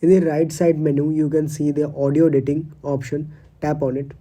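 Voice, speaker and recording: A man's voice: on the high side, level tone, brisk, dark tone. A man narrating a scripted step-by-step tutorial as its host.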